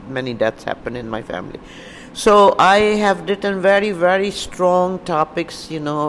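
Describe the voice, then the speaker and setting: A woman's voice: low-pitched, long reverb tail. A woman playwright with a Pakistani accent answering interview questions spontaneously, searching for words and repeating herself. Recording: noisy room ambience.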